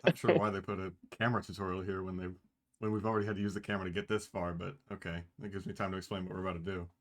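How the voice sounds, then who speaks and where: A man's voice: sits low, flat delivery, racing. A man playing an oblivious, chatty unicorn speedrunner who gives matter-of-fact gaming commentary in a story reading. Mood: nonchalant. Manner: matter-of-fact, rattles off game trivia.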